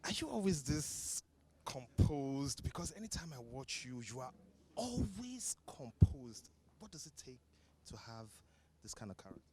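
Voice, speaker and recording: A man's voice: mid-range voice, big pitch swings, unhurried, a little mellow. A man asking interview questions, heard slightly off-mic.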